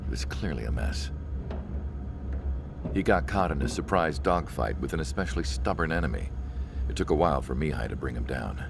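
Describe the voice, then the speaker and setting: Very deep-voiced weary male scientist, game narration. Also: lively intonation, a little fast, gravelly, full character voice. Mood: grave, reflective. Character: weary male military research scientist narrating his findings. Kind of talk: scripted game cutscene narration. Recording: voice under loud music.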